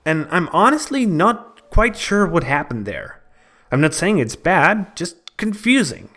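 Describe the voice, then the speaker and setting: Lively, dramatic male game reviewer. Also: on the high side, measured pace. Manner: dramatic, storytelling delivery. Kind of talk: scripted review narration.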